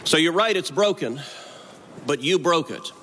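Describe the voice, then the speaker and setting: A man's voice: on the high side, lively intonation, unhurried, sharp tone, slight background noise. A man questioning someone confrontationally, like a senator at a hearing, with long reverb.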